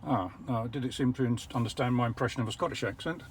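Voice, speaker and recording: An older English man explaining how an electric car works from the driver's seat, measured and a little wry. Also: mid-range voice, slight background noise. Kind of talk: casual vlog commentary. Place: parked car.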